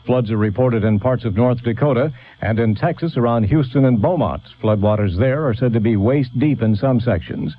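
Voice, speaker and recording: A man reading a scripted radio news bulletin in a measured, formal way. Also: mid-range voice. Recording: dull lo-fi old radio.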